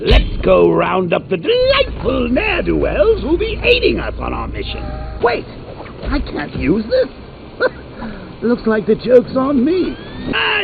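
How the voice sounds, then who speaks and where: An actor playing a manic, theatrical clown supervillain in a video game, cackling and taunting with playful wordplay. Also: male voice, very high voice, creaky, background noise, heavily acted. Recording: tinny lo-fi game audio with loud music.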